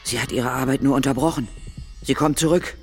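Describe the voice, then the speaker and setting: An actress playing a resolute, wary older woman ghost hunter in a children's radio play, brisk and commanding. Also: rumbling low, soft background music. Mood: urgent.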